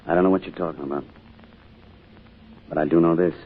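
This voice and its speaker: An actor playing a grieving, withdrawn middle-aged father in a radio drama, speaking in a weary, halting way. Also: low-pitched, steady tone, husky. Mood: bitter, grieving. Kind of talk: old-time radio drama acting. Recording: muffled, noisy old radio recording.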